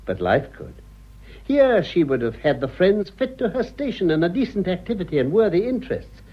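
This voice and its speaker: An actor playing a worldly, sardonic old English gentleman with polished manners, in a radio drama. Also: high-pitched, very animated, normal speed, husky, full character voice. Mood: scornful. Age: older. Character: cynical, urbane old English gentleman, amused and cutting. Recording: muffled radio recording.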